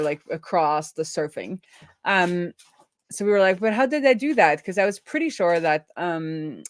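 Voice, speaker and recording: A woman's voice: low-pitched, dry recording. Casual woman streamer, dry close sound. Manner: informal, thinking aloud. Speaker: live stream host, web developer. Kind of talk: casual live coding stream chat.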